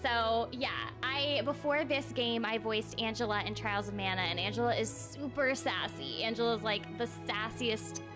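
A bubbly young woman streams a game and chats with her viewers. Her voice is fairly high and informal, close to the mic, with game music clearly behind her. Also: normal speed, few pauses. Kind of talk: casual livestream chat over gameplay.

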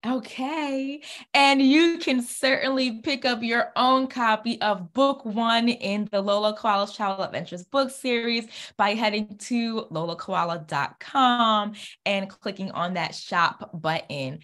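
Woman, thirties, high voice and leisurely pace, children's author reading a picture book aloud, coaxing young listeners with questions and praise. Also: unhurried, fairly bright tone. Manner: encouraging, exclamatory, asks questions and answers them. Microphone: computer mic on video call.

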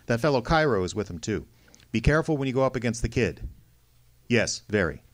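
An actor playing a tough, wry, unflappable private detective with clipped, sardonic delivery in an old-time radio drama. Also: male voice, mid-range voice, big pitch swings, brisk, lightly acted. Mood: businesslike. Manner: clipped, hard-boiled, sardonic.

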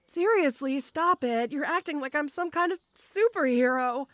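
A young woman playing a shy, gentle tomboy orc schoolgirl in an audio roleplay, with a hesitant, stammering, bashful manner. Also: sits high, animated, moderate pace, no room sound. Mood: flustered.

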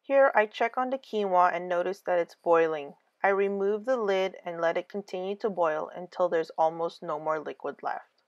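A woman giving a scripted cooking voice-over, calm clear step-by-step instructions for a home recipe. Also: on the low side, moderate pace, lo-fi sound. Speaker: cooking channel host.

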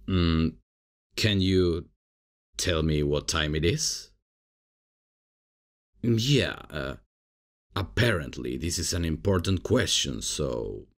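A man playing a cocky, casual, unprofessional substitute professor in an ASMR comedy roleplay, with a husky, gravelly voice and a hesitant, improvising way of talking. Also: sits low, exaggerated voice. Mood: puzzled, bumbling. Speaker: roleplay performer.

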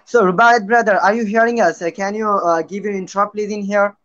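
Young guy from bangladesh hosting, high voice, lots of uh, muffled call audio. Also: fairly even intonation, fairly quick, a bit bright, a little hiss or hum. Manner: hesitant, many "uh"s, formal phrasing. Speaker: host. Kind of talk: live-stream hosting. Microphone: video call.

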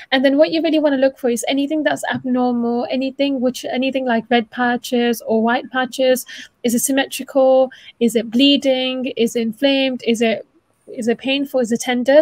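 A woman's voice: sits high, a little mellow, no echo. A young woman teaching informally on a video call, chatty and encouraging, often rephrasing as she explains. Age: young adult. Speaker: student presenter of an online dental anatomy session. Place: small room at home, indoors.

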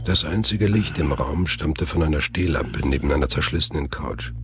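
Super deep guy narrating a thriller radio play, music clearly playing behind him. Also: normal speed. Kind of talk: scripted thriller audio drama narration.

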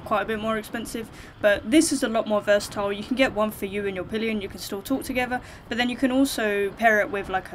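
British woman, casual explainer, some background noise. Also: mid-range voice. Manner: informal, frank, hedged opinions. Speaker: motorcycle vlogger giving buying advice.

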